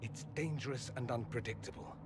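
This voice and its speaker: A determined, articulate male mage played by an actor speaks at a middling pitch with theatrical expression. It is dramatic game dialogue, troubled and earnest, with clear ambient noise behind. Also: a little fast, close to an everyday voice. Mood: urgent explaining. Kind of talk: dramatic video game acting.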